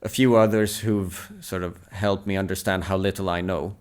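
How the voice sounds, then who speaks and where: A man in his thirties whose first language is Swedish, answering interview questions in a low, smooth, resonant voice. He speaks reflectively and at an even, measured pace, often pausing on hesitant fillers. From Sweden. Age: 30s. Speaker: national team table tennis coach, podcast guest. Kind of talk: reflective casual conversation.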